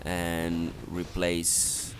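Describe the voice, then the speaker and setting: A man's voice: sits low, monotone, very slow, low-quality recording. A man giving an unscripted strategy game walkthrough in a hesitant, step-by-step instructional manner. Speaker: gameplay guide narrator.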